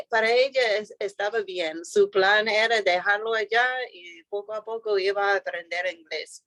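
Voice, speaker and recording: A woman's voice: medium pitch, dry recording, poor audio. A woman whose first language is English, speaking with an American accent as an interview guest, hesitant with many fillers and restarts. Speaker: school founder and director. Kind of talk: interview guest telling a story.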